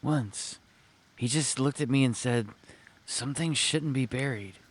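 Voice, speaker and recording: A man's voice: medium pitch, a little slow, pitched above the performer's own voice, lightly acted. A man playing a nervous, furtive night-shift records clerk in a noir audio drama, talking in urgent, clipped phrases. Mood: nervous, secretive.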